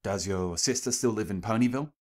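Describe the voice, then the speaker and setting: A suave young gentleman unicorn from a storybook, played by a male reader. He speaks in a low register with polite, easygoing courtesy. The delivery is smooth and conversational. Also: fast talker, natural voice. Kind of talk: storybook character voice in fan fiction reading.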